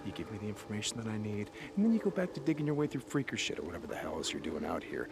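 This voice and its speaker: A man playing a gruff, determined survivor in a cinematic video game, terse and intimidating in manner. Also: on the low side, huge pitch swings, very fast, noisy background, exaggerated voice. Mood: threatening. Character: menacing, determined male survivor drifter searching for his wife.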